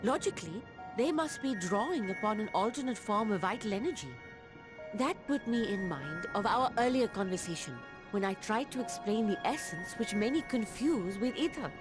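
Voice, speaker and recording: A woman's voice: medium pitch, lively intonation, normal speed, natural voice. An actress voicing an earnest, caring woman scholar in a video game, speaking in formal, precise language. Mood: earnest, intent. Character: earnest, caring woman scholar theorizing about mysterious energy. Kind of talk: scripted video game voice acting. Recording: background music under the voice.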